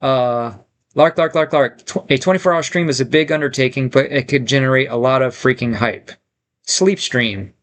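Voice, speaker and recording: A man's voice: mid-range voice, moderate pace, a bit bright. A man casually chatting on a livestream, reading viewer comments aloud and rambling with lots of fillers and restarts. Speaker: streamer reading viewer chat.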